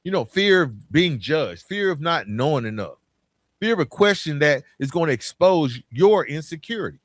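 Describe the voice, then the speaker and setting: A man's voice: a little high, animated, normal speed, dry recording, studio quality. A man hosting a podcast, giving an emphatic, colloquial motivational monologue with storytelling and repeated key phrases. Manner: colloquial, informal address. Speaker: podcast host.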